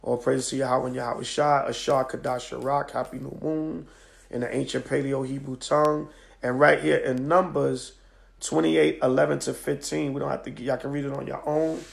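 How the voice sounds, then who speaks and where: A man with a very gravelly, hoarse voice, worn down by a cold, gives an emphatic scripture lesson. He speaks at a middle pitch into a phone propped on a stand at home, checking in with his listeners again and again. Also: little pitch movement, normal speed. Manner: emphatic, preacherly, with many "all right?" checks. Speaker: teacher of a religious group. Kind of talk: live-streamed scripture lesson. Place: home at night.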